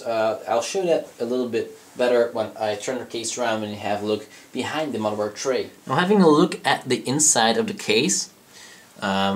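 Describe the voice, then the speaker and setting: A young man with a cold and a slightly scratchy voice, casually walking through a hardware review, rambling with fillers and self-corrections. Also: fairly low pitch. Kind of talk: casual product review walkthrough. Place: indoors at a desk, handling a PC case.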